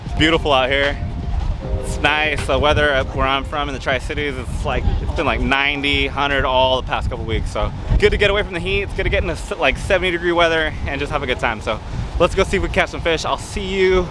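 A man's voice: fairly high pitch, quick pace, music in the background. A man in his twenties with an American accent, chatting casually as a fishing vlogger. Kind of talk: casual vlog chat. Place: outdoors on an ocean fishing pier. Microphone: handheld or body-worn action camera. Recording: noisy outdoor pier with wind and water.

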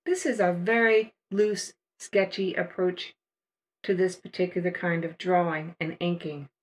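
An older woman narrating a watercolor painting demonstration as a voice-over, explaining each step in a relaxed, unhurried way. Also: fairly low pitch. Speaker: watercolor art teacher.

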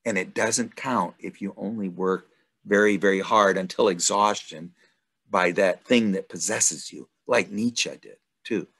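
A middle-aged man hosting an informal online study group, talking in a rambling, halting way over a video call. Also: low voice, fairly bright tone, husky. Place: indoors at home, video call. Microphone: video call microphone.

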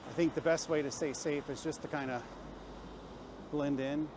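A man's voice: on the high side, steady tone, normal speed. Man vlogging over street noise. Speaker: travel vlogger. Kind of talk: casual walk-and-talk narration to camera. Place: busy city street, outdoors. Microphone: handheld camera while walking. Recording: clear street and traffic noise.

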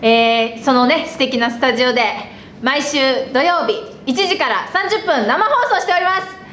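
High, playful young woman in an echoey room. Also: animated, moderate pace, fairly bright tone. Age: young adult. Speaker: cast member of a weekly live web show. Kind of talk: casual, playful live-show chat. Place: small indoor studio with a group. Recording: roomy studio echo, faint noise, lo-fi stream.

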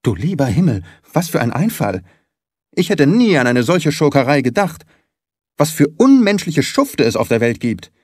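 A man voicing a quarrelsome, stingy little old lord in an audiobook, with a sharp, screechy voice and a tense, commanding manner. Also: mid-range voice, no room sound, studio quality. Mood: alarmed. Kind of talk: dramatised audiobook reading.

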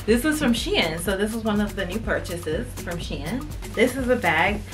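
A young woman talks her viewers through a clothing haul in an emphatic, chatty way. Her voice sits at a middle pitch, with faint music playing softly underneath. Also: animated, normal speed. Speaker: fashion vlogger. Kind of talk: casual try-on haul chat. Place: indoors in front of a painted wood backdrop.

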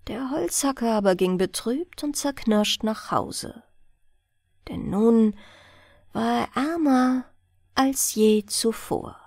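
A woman reading a bedtime fairy tale in a soothing, expressive storytelling manner. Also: a little high, slow, no room sound, clean studio sound. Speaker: audiobook narrator.

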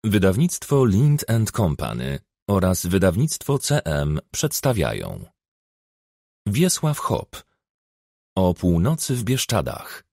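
Husky man narrating with very animated intonation. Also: deep voice, slow pace, dry recording, clean studio sound. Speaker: audiobook narrator. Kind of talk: expressive scripted audiobook narration.

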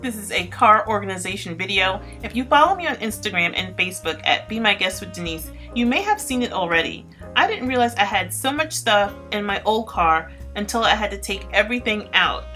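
A woman's voice: medium pitch, expressive, a little fast, hardly any pauses, pushed and bright, soft background music. A woman vlogger chatting upbeat to her viewers in a voice-over tour. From Florida. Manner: chatty and upbeat, addressing viewers as you guys. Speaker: lifestyle vlogger.

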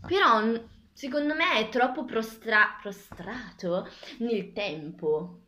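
A woman's voice: fairly high pitch, takes it slowly, bright, forceful tone. A woman in her twenties casually debating as a reviewer, picked up by a camera across a table in a small kitchen. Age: 20s. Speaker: book reviewer. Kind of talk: casual discussion of a graphic novel. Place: small tiled kitchen, indoors. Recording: echoey room, camera at a distance.

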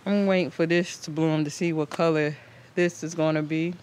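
Higher-pitched man, casual garden chat. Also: steady tone, normal speed, a little mellow. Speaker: host of a home gardening channel. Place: outdoors in a backyard garden. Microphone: handheld camera microphone. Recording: outdoor ambient noise on camera mic.

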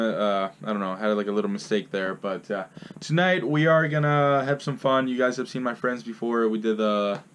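A young man talking casually to a close action camera, rambling with lots of fillers and restarts, first in his bedroom and later while driving his car. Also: medium pitch. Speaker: vlogger. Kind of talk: casual vlog talk to camera. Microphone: action camera held close.

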